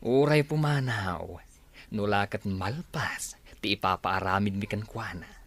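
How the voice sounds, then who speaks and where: A man's voice: fairly low pitch, measured pace, a bit bright. A man playing a scheming villain boss in an audio drama, commanding and sneering. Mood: commanding, menacing. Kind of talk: Ilocano audio drama acting.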